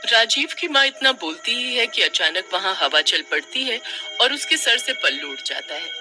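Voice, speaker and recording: A man's voice: sits high, lively intonation, normal speed. A man narrating a scripted cartoon horror story as a storyteller. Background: music.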